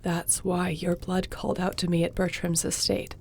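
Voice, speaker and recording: A woman's voice: on the low side, little pitch movement, moderate pace, vocal fry, a little hiss or hum. A woman acting as a loyal, affectionate court sorceress in a fantasy audio roleplay, with a heartfelt delivery. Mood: moved, astonished.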